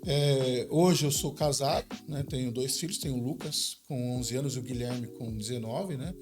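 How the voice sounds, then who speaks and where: A man in his forties with a São Paulo accent, a pastor telling his life story in a relaxed, chatty podcast conversation, laughing easily. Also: mid-range voice, normal speed, music in the background. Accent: Paulistano Brazilian Portuguese. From São Paulo, Brazil. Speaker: pastor and seminary director.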